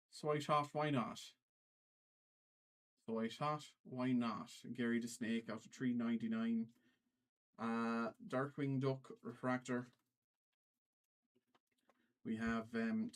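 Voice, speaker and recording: Halting man streamer with put-on Irish accent. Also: medium pitch. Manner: halting, repeats phrases. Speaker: trading card break livestream host. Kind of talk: casual livestream commentary, calling out cards.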